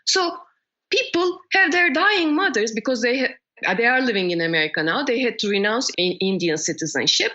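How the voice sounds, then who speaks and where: Bright, lively Turkish woman, indignant. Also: fairly high pitch, brisk, dull audio. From Turkey. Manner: indignant, emphatic, restarts often. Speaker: interview guest. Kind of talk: casual interview conversation.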